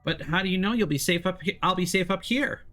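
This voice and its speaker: Man putting on an eager, high-pitched little boy. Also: quick pace, sharp tone. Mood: curious. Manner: eager, childlike. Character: eager, friendly little boy playing deputy. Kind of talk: visual novel character voice.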